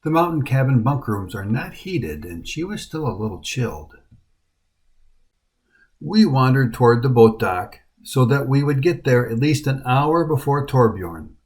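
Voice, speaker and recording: A middle-aged man with an American accent tells the story of a long trail hike in a scripted voice-over. His delivery is calm and even, his voice in a middle pitch, with a dry, wry humor. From United States. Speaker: hiking vlogger. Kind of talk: scripted travel narration.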